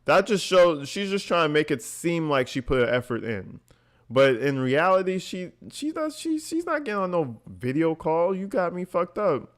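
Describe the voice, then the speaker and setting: A man's voice: a little high, big pitch swings, normal speed. A man giving casual reaction commentary as a host, incredulous and mocking, swearing freely. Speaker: host reacting to recorded calls.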